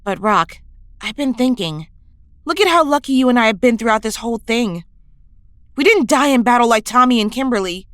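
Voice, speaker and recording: A woman's voice: on the high side, lively intonation, normal speed, no echo, lightly acted. A woman acting an earnest, idealistic young superhero in an audio drama, reflective and sincere. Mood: earnest, pleading.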